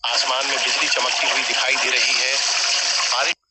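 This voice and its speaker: A man's voice: mid-range voice, flat delivery, a little fast, natural voice. A man voicing a cartoon story narrator in a scripted, grave style. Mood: dramatic narration. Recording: loud rain and water noise. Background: rain sound effect.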